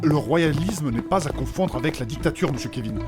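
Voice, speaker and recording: A man's voice: fairly high pitch, lively intonation, brisk, natural voice. A man playing a fussy, formal, moralizing royalist companion in a French comic fantasy audio drama. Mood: lecturing. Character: pompous, pious, polite royalist male fantasy companion. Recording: music behind the voice. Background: background music.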